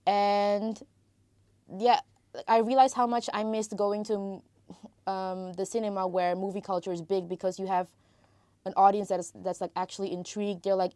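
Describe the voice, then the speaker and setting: A woman's voice: mid-range voice, warm tone, no room sound. A young woman from Malaysia with an American-sounding Malaysian English accent, chatting as a podcast guest and filling her talk with "like" and "you know". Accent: American-influenced Malaysian English. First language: Tamil. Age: young adult. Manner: chatty, lots of "like" and "you know", frequent restarts. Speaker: podcast guest, international student. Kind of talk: casual interview conversation.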